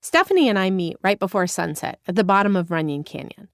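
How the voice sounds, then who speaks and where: A woman from Northern California narrating her own memoir as an audiobook, candid and expressive, on a close studio mic. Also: mid-range voice, fast, creaky, dry recording. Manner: expressive, candid first-person storytelling.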